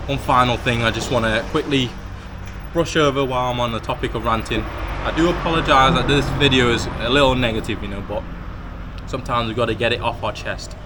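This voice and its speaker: Thai host, candid opinionated rant, mid pitch, outdoors with clear traffic noise. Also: male voice, brisk. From Thailand. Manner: candid, opinionated, lots of filler "you know". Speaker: vlog host. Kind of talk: casual ranting monologue. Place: outdoors by a street restaurant.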